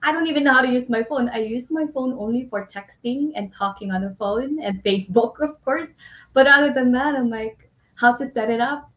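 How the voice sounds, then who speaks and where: A woman's voice: fairly high pitch, a little fast, fairly bright tone, reverberant, poor audio. A woman hosting a casual live-stream chat, chatty, laughing often and restarting her sentences.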